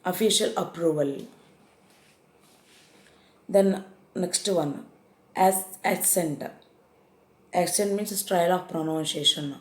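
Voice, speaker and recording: Indian English woman, lower pitch, repeats definitions, hesitant fillers, echoey room. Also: takes it slowly, long pauses. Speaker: English teacher. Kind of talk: vocabulary lesson.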